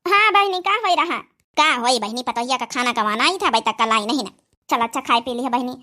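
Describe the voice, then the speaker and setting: A woman's voice: sits high, lively intonation, a little fast, pushed and bright. A woman with an Awadhi accent playing a practical, concerned village mother-in-law in a cartoon, homely and matter-of-fact. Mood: worried, asking help. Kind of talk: cartoon comedy dialogue.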